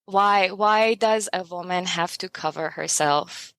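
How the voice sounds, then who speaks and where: A young adult woman from Iran, a podcast guest talking in casual interview chat, animated and emphatic, laughing often and asking pointed rhetorical questions. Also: fairly low pitch, slow pace, clean tone, no room sound.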